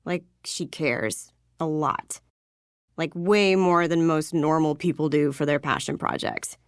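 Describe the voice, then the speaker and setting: Casting: misjudged small-town young woman in a game, slightly low voice, frank, casual, dry recording. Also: unhurried, no room sound. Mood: casual, reflective. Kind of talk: video game voice acting.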